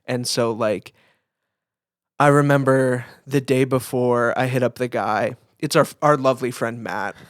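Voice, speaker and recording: A man's voice: medium pitch, unhurried, no room sound, studio quality. A man co-hosting a podcast, telling things in a loose, slangy, conversational way full of filler words. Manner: informal, slangy, peppered with "like". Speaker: podcast co-host; photographer. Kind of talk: casual anecdotal chat.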